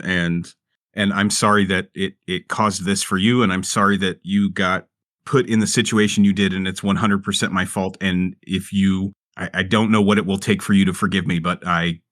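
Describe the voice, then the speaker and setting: Low-voiced man casually roleplaying at the table. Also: fairly even intonation, normal speed, fairly bright tone, dry recording, clean studio sound.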